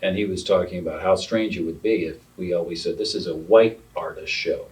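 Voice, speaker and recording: A man's voice: deep voice, fairly quick. A man interviewing artists on a panel at an art center, chatty and explaining with asides. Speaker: interviewer, founder of the arts organization. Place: art center gallery room. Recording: distant mic with faint room noise.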